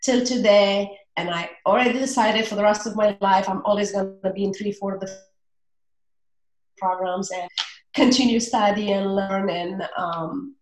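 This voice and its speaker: A woman in her forties with a Romanian accent, a relationship coach speaking earnestly in a casual interview, often pausing on fillers. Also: mid-range voice, fairly even intonation, roomy sound, rough recording.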